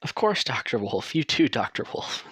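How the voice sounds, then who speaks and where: Man playing a jittery, friendly asylum patient, swooping pitch. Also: sing-song, normal speed, a bit bright, slightly rough, no room sound, in a whisper, exaggerated voice. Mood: relieved, confiding. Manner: hesitant, confiding, jittery. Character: nervous, friendly young male asylum patient, quietly defiant. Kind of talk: audio roleplay acting.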